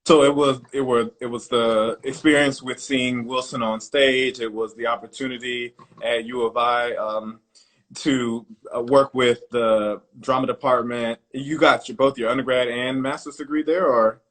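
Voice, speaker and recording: A man's voice: mid-range voice, steady tone, normal speed, a bit bright. Enthusiastic American man, podcast host, casual and effusive. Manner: enthusiastic, casual, many uh fillers. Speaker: podcast host, artistic director. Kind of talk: podcast interview.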